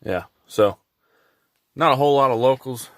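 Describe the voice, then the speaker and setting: A man giving a casual, unscripted how-to vlog in a laid-back, folksy, halting way. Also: mid-range voice, fairly bright tone, dry recording. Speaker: hobbyist vlogger showing his truck radio install. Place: in and around a pickup truck in a driveway.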